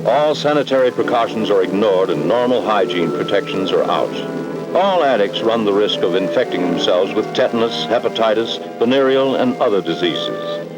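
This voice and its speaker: A man's voice: on the low side, expressive, normal speed, poor audio. An American man narrating a 1960s educational film in a grave, ominous, emphatic scripted style, on a vintage film soundtrack. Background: dramatic background music.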